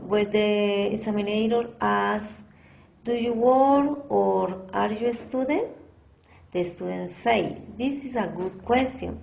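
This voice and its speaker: Woman, English, very slow, gravelly fry, halting class presentation. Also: mid-range voice, a little mellow, low-quality recording. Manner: halting, careful delivery with ESL grammar slips. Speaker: English student. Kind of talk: read-aloud class presentation.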